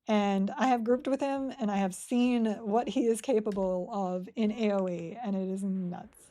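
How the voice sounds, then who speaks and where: Woman, game streamer, casual live chat, mellow warm voice, giggly, rambling, desk mic. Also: medium pitch, fairly even intonation, normal speed. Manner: chatty, rambling, giggly, reads chat aloud.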